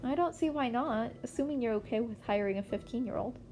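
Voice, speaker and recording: A woman's voice: fairly high pitch, brisk, darkish tone, pitched above the performer's own voice, lightly acted. A woman voicing a fifteen-year-old boy vigilante in an amateur audio story, blunt and offhand, with music underneath. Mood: cheeky.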